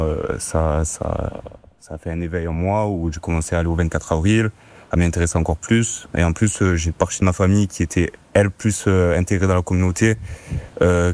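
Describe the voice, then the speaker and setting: A man's voice: sits low, a little mellow. A young man of twenty, an interview guest, speaking earnestly with many fillers. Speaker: student guest. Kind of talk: casual interview.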